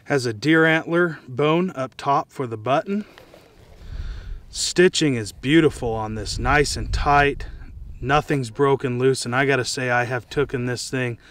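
A man's voice: medium pitch, measured pace, fairly bright tone. An American man casually demonstrating and reviewing gear to camera, speaking outdoors. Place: outdoors in dry scrubland.

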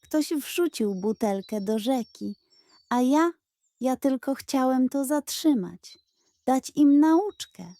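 Storybook turtle played by a woman: crotchety old forest male, huffy, growling, pitched up. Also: animated, slow, mellow, no room sound, heavily acted. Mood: angry, scolding. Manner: growling, huffing, indignant.

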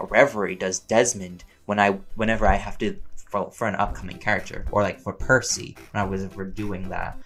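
A man chatting casually and playfully as a voice actor answering listener questions in a rambling Q&A. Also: on the low side. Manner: playful, chatty, laughs often, animated emphasis.

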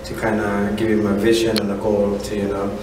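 Male soccer coach, thirties, native Spanish speaker with Central American accent, halting and casual, very echoey room, distant from mic. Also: fairly low pitch, monotone, background noise. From Honduras. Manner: halting, repeats "you know". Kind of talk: casual interview answers. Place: indoor room at a college.

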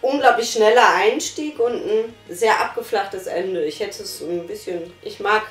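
A woman's voice: mid-range voice, a little slow, faint music behind. Woman in her thirties, casual review chat, hesitant fillers, roomy sound, distant mic. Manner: thoughtful, hesitant with many fillers. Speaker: podcast reviewer. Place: small living room, on a sofa. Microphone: far camera. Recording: echoey room from far off.

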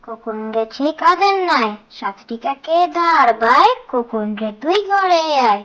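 High, bright woman reciting nursery rhymes. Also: expressive, unhurried.

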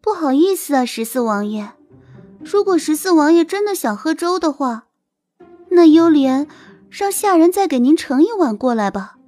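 An actress playing a coquettish, scheming young concubine in an audio drama, with a simpering, wheedling manner. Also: female voice, high voice, big pitch swings, moderate pace, warm tone. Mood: sweetly catty. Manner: simpering, affected, sweetly wheedling. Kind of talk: Chinese audio drama acting. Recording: music bed behind the voice.

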